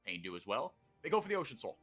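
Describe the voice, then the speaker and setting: Bright-voiced man calling esports action. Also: mid-range voice, rapid-fire, faint music behind. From Brazil. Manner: excitable, rising to shouts in big plays. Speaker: play-by-play caster. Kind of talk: esports play-by-play commentary.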